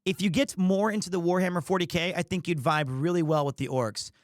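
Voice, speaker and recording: A man's voice: high voice, fairly even intonation, fairly quick, no echo, clean studio sound. A man hosting a livestream, talking casually and jokingly. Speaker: livestream host. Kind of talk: casual stream chat.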